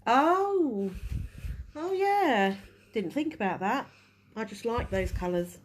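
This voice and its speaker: A woman's voice: on the high side, lively intonation, takes it slowly, slight background noise. Stuffy-nosed woman teaching nails. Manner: chatty, restarts while working. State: head cold, stuffy. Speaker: nail technician teaching a live class. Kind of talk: casual live tutorial.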